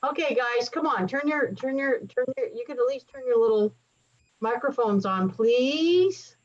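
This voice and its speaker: A woman's voice: fairly high pitch, lively intonation, measured pace. A woman co-hosting an online training over a video call, making playful, joking asides. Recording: distant, dull video-call audio.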